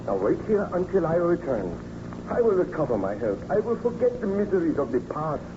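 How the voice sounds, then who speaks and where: An actor playing an anguished, guilt-ridden scientist baron in a theatrical, formal old radio melodrama style. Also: male voice, fairly high pitch, very animated, a little fast. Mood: soothing, earnest. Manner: theatrical, fervent, formal diction. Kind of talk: 1930s radio melodrama acting. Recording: muffled, noisy old radio recording.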